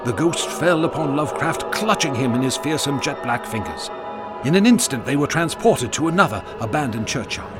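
A man narrating a ghost story in a measured, solemn style. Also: fairly high pitch, lively intonation, over music.

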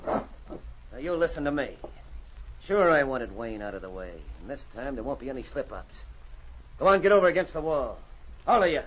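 An actor playing a scheming, murderous villain with a smooth false front in a 1940s radio drama. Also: male voice, mid-range voice, animated, normal speed, long pauses, fairly bright tone. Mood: furious, menacing. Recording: noisy, dull old radio transcription.

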